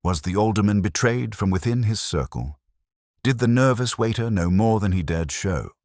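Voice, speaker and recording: A man narrating a scripted mystery story in a measured, formal way. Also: fairly low pitch, lively intonation, a little mellow, dry recording, clean studio sound.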